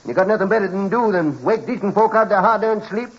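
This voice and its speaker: An actor playing a gruff, suspicious roadside stand keeper with a curt, folksy way of scolding, in old radio drama style. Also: male voice, high voice, fast talker, natural voice. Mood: irritated, scolding. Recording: dull, muffled vintage radio recording.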